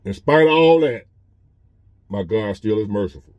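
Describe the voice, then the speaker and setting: A man's voice: lowish voice, animated, normal speed, no echo, dull audio. Earnest man in his fifties. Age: 50s. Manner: earnest, repetitive, conversational preaching. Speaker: vlogger. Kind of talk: casual motivational monologue. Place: parked truck.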